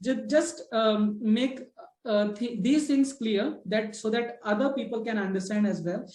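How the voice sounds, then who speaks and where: A woman's voice: a little high, measured pace, mellow, echoey room. A woman with an Indian English accent, a native Hindi speaker, hosting a casual discussion and talking in a chatty way, with many fillers and restarts and frequent "okay, right?" check-ins. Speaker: host of a spoken English discussion.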